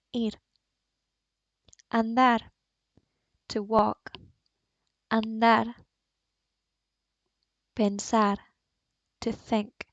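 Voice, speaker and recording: A woman with a Spanish accent, a native Spanish speaker, teaching Spanish as a language teacher and pronouncing each word slowly and clearly. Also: mid-range voice, no room sound. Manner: slow, clearly enunciated word drills. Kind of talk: language lesson. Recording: dull, dry voice-over.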